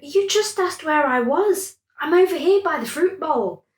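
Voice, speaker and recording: A quick-thinking female prawn in a children's bedtime tale voiced by a woman reader. She is bossy but affectionate, with an urgent, expressive delivery. Her voice sits a bit above normal talk in a roomy space. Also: high voice, pitched above the performer's own voice. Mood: insistent.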